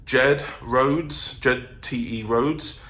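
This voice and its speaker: A man's voice: mid-range voice, fairly even intonation, very slow, fairly bright tone. A man chatting casually to his vlog audience in a rambling, self-interrupting way. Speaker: vlogger and audio drama producer. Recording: noticeable background noise.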